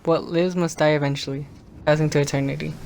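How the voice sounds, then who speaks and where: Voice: mid-range voice, steady tone, fast, gravelly, a little mellow, slight background noise, close to an everyday voice. A performer playing an earnest member of a royal court, politely entreating in modernised stage drama dialogue. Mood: gently urging.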